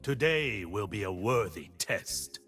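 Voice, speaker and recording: A man playing a disciplined, resolute blind warrior monk for a video game, speaking in solemn, measured aphorisms. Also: on the low side, animated, a bit bright, faint music behind. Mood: disciplined, determined.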